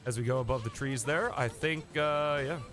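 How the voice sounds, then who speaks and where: A man's voice: mid-range voice, normal speed, a bit bright, faint music behind. Sniffly man casually streaming a game. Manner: casual, chatty, thanks viewers by name. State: sniffly with a coming cold. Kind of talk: live game commentary.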